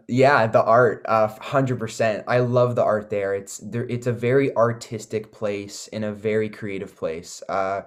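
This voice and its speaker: A man with a Canadian accent giving casual, enthusiastic interview answers, often pausing with "like" and "you know". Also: on the low side. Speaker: recording artist, interview guest.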